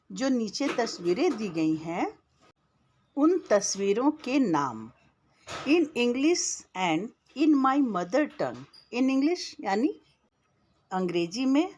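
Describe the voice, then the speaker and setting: A woman teaching young children a school lesson, patiently explaining and spelling words out letter by letter. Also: on the high side.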